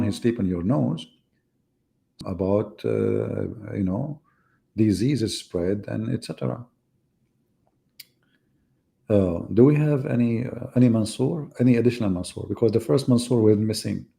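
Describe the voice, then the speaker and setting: A man's voice: on the low side, measured pace, creaky, pauses often, mellow. A man hosting a live debate stream, talking in a mocking, ironic way with rambling asides. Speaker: livestream debate host. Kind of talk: sarcastic live-stream commentary.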